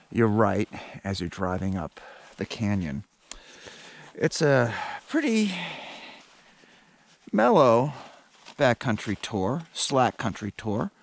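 A man casually narrating a backcountry ski tour outdoors, rambling with many fillers. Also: mid-range voice. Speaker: ski vlogger. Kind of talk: casual narration while skiing. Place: outdoors on a snowy mountain trail.

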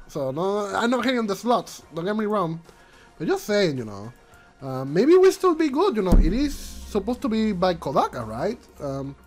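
Male vlog host, congested and stuffy, high lively voice, faint music under him, rambling non-native English. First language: not English. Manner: rambling, repeats himself, frequent restarts. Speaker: channel host. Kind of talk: casual commentary vlog.

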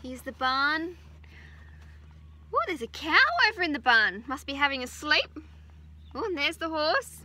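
An Australian woman in her thirties, a teacher gently reading a picture book aloud to children outdoors on a farm, adding chatty asides. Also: sits high, animated, a little slow, long pauses. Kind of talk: children's story time read-aloud. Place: outdoors on a farm beside a utility vehicle. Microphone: phone camera held close.

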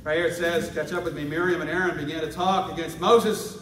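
A man preaching a lively sermon, swinging from quiet asides to shouted exhortation and acting out quoted voices. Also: fairly high pitch, fairly quick, a crowd in the background. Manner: acts out quoted voices for laughs. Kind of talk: lively sermon with congregation responses. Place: large church hall. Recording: echoey hall, far from mic, congregation. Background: congregation responding.

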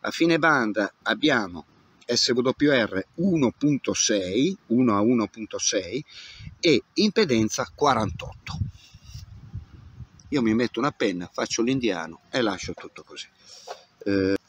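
A man in his fifties with a mid-pitched voice gives a casual hands-on demonstration in an open field. He talks himself through each step in a muttering way, like someone busy working. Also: expressive, unhurried, husky. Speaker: amateur radio operator. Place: open grassy field outdoors. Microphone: camera at about arm's length.

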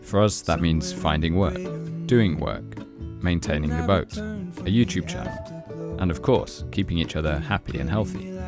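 Deep-voiced man narrating a sailing vlog. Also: big pitch swings, unhurried, few pauses, over music. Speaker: sailing vlogger. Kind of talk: voice-over narration and casual chat on a boat.

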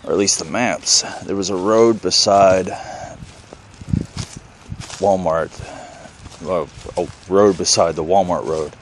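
A man in his thirties talks in a relaxed, rambling way as he explores outdoors with a camera at arm's length. His voice sits very low, and clear outdoor noise runs behind him. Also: takes it slowly, pauses often. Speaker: outdoor vlogger. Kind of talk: casual vlog narration. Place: outdoors in woods and fields. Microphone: handheld camera at arm's length.

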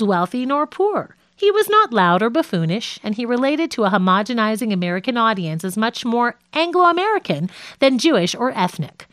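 A woman reads scripted nonfiction as an audiobook narrator. Her voice sits a little high and moves through a wide, animated range of pitch. The delivery is measured and articulate, and the sound is dry and close, with very little room. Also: no echo.

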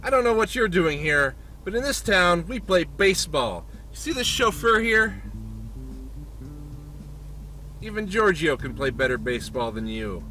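Grudging, deadpan instructor. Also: male voice, high-pitched, normal speed, few pauses, slight background noise, full character voice, poor audio. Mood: barking. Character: unimpressed, grumpy male driving instructor and baseball coach. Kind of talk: comic game cutscene acting.

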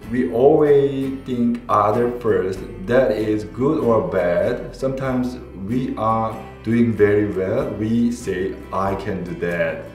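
A man in his forties with a Korean accent picks his English words slowly and with care, in a fairly low voice. The room echoes. A guitar plays softly behind him. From South Korea. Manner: careful, halting non-native English. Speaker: interviewee. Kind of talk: reflective testimony. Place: indoor studio with dark backdrop. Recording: roomy with guitar music under. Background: soft guitar music.

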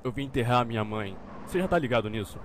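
Man acting a animated Brazilian street gangster. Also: medium pitch, rapid-fire, very noisy, close to an everyday voice. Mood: weary. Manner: slangy, indignant. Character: streetwise, defensive young gangster come home. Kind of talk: fan dub game acting.

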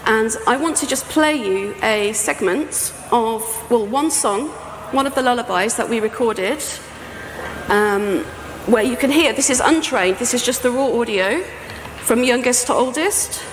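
Middle-aged woman, higher-pitched voice, conversational conference presenter, reverberant hall. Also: measured pace. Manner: conversational, explanatory. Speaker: sound artist presenting a project. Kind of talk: conference talk, informal presentation. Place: indoor talk venue with projection screen, on stage. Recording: echoey hall, faint background noise.

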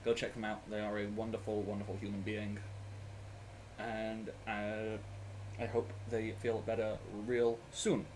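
A man's voice: fairly low pitch, completely flat delivery, measured pace, a little mellow, slight background noise, rough recording. A man in his thirties on a headset, running a tabletop game from a small room at home, rambling with fillers and laughing often. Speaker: game master. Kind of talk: running a tabletop game over a video call.

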